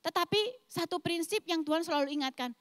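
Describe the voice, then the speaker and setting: A woman's voice: very high-pitched, fairly quick, a bit bright, dry recording, clean studio sound, long reverb tail. A woman in her forties with a thick East Javanese accent, preaching a sermon as a pastor in an emphatic, explosive way. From East Java, Indonesia. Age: 40s. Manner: emphatic, explosive delivery addressing the congregation.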